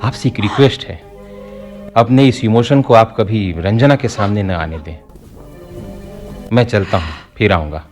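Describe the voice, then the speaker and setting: An actor playing a sober, earnest doctor in a Hindi radio play, with a formal, measured manner. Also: male voice, on the low side, darkish tone, faint music behind, natural voice. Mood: grave, earnest. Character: sober, earnest doctor advising a patient's mother.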